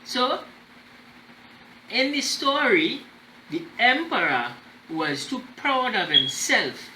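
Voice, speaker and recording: A man hosts storytime with expressive, unhurried narration for children. His voice is bright with lively pitch movement, and he speaks deliberately, as if guiding young listeners. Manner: animated, deliberate, checking in with listeners. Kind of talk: expressive read-aloud with explanatory asides. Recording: roomy echo, faint background noise.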